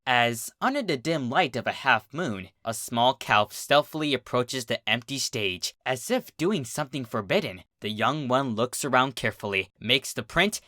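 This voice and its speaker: A man's voice: medium pitch, pushed and bright, no echo, studio quality. A man reading a story aloud as a narrator, in a dramatic, scripted storytelling style. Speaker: fan fiction reader, narrator.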